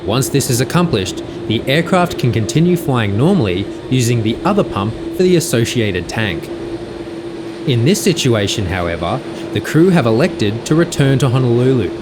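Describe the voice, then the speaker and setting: A man reading a scripted explanatory narration in a measured, precise, instructional way. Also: medium pitch, noisy background. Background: music.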